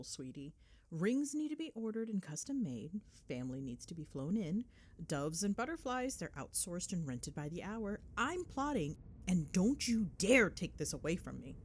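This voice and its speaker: A woman playing an affectionate, teasing, excitable young girlfriend chatting on a call in an ASMR roleplay. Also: fairly low pitch, slight background noise. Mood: teasing, loving. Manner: playful, chatty, expressive, mildly sweary.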